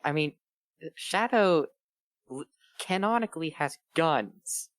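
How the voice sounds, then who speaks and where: Guy doing casual comedy banter, deadpan absurd riffs, very dry close recording. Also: fairly high pitch, slow pace, dry recording. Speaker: co-host of a comedy reading show.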